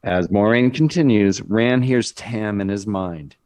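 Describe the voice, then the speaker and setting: A man's voice: on the low side, a little slow, darkish tone. A man narrating stage directions as scripted narration in a screenplay table read.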